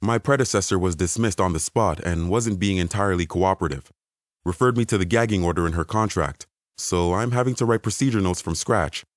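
A man's voice: deep voice, a little fast, no room sound, clean studio sound, close to an everyday voice. A man playing an insistent new businessman in an audio story, businesslike and pressing.

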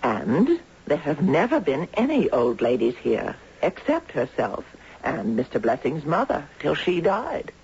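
A woman playing a gentle, courteous, wistful elderly lady in a 1970s radio drama. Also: sits low, wildly swooping pitch, a little slow, breathy edge, heavily acted. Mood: obliging. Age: older. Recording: dull, slightly noisy 1970s radio recording.

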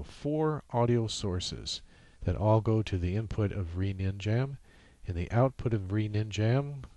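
A man presenting an informal software tutorial, explaining step by step in a halting way. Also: fairly low pitch, no echo.

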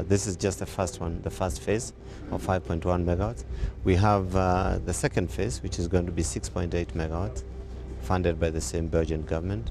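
A middle-aged man from Kenya with a very deep voice explains plans in a businesslike way. He is recorded outdoors on a lapel mic, with clear background noise. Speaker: company executive. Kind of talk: outdoor news interview. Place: outdoors by a building.

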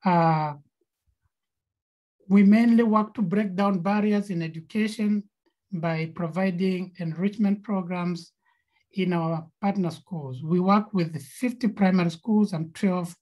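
A woman's voice: on the low side, slow, mellow, rough recording. A woman with a Kenyan accent, co-founder of a nonprofit, presenting slides on a video call with frequent fillers and repeated words.

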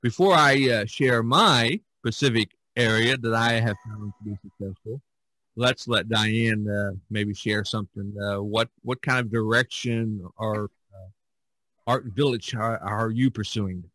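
A man hosting a casual podcast, speaking in a halting, deliberate way. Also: fairly low pitch, fairly even intonation, bright, forceful tone, dry recording, poor audio. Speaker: podcast host.

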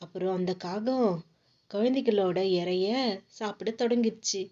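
A woman's voice: medium pitch, unhurried, dark tone. A woman telling a children's story as its narrator. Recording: dull lo-fi audio.

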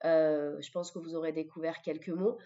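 A French-accented woman teaching vocabulary in a didactic way, repeating words deliberately for pupils. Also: low voice, fairly even intonation, mellow. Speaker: English teacher. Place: voice-over of a screen recording. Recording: dull lo-fi microphone sound.

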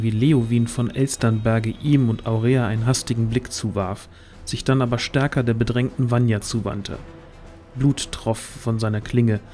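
A man's voice: mid-range voice, a little mellow. A man narrating a scripted fantasy audio drama in a literary storytelling manner.

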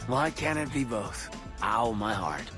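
Casting: charming thirties soldier in sci-fi game, soft North American voice, swooping intonation, faint music behind. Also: male voice, mid-range voice, sing-song, unhurried. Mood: playful. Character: warm, flirtatious male soldier in his thirties. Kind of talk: video game romance acting.

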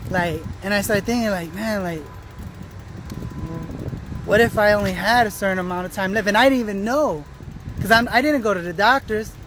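A man's voice: high voice, moderate pace. A man in his thirties giving a casual, slangy vlog monologue as he walks, rambling, repeating himself and cutting himself off. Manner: rambling, repetitive, self-interrupting, slangy. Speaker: vlogger. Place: outdoors on a roadside path. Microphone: phone held at arm's length. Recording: outdoor traffic and ambient noise.

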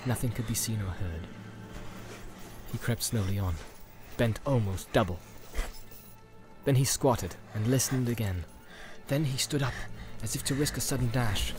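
A man's voice: mid-range voice, a little slow. A man reading a fantasy audiobook as narrator, in a dramatic storytelling style. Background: music and sound effects.

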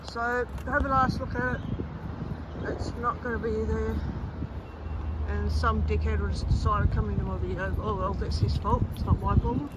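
A man's voice: high-pitched, steady tone, moderate pace, highs cut off. Rambling Australian man filming outdoors. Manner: rambling, repetitive, blunt with swearing. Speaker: vlogger filming train stations. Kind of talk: casual walking commentary. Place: outdoor train station and construction site. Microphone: handheld phone camera. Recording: loud outdoor noise on phone.

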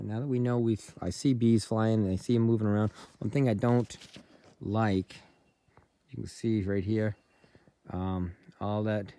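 Guy in his 40s, lowish voice, rambly casual vlog talk into his phone, kinda thinking out loud. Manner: rambling, informal, thinking aloud. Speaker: beekeeper vlogger. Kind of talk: casual handheld vlog narration. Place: outdoors in snowy yard, later inside a barn. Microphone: handheld phone.

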